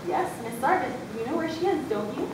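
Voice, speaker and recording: A woman playing a brisk, exasperated female schoolteacher in a readers theatre school story. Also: expressive, distant mic. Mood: stern, impatient. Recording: echoey room from afar, loud rumbling noise.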